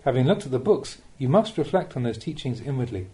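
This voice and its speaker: A man reading spiritual teachings aloud as an audiobook narrator, in a measured, instructive manner with emphatic phrasing. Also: medium pitch, sing-song, warm tone.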